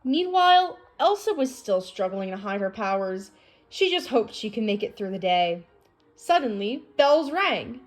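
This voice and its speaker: A woman reading a children's storybook aloud in an expressive storytelling manner. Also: medium pitch, a little slow. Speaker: storybook reader.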